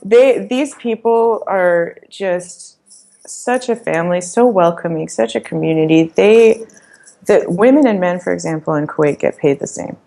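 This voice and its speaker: A woman in a casual podcast interview, sharing her experiences and advice with emphatic, motivational energy. Her voice sits low and carries a gravelly edge. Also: a little slow, dark tone, low-quality recording. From Iran, raised in the US. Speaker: startup founder, interview guest.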